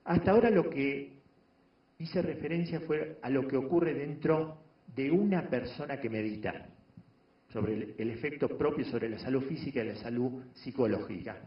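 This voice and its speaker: A man giving a measured lecture on meditation to an audience, pausing often and restarting phrases. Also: medium pitch. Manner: measured, explanatory, frequent pauses and restarts. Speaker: doctor giving a talk on meditation. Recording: echoey room, dull lo-fi sound.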